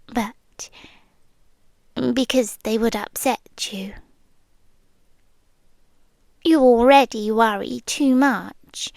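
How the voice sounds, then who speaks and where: A British woman playing an obsessive, possessive girlfriend in an intimate ASMR roleplay, softly menacing and teasing, sometimes slipping into a whisper. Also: on the high side, no room sound, studio quality. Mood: hushed, confiding. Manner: slow, teasing, softly menacing, with lingering pauses. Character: obsessive possessive yandere girlfriend, a menacingly affectionate voice in your head. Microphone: close microphone, dry sound.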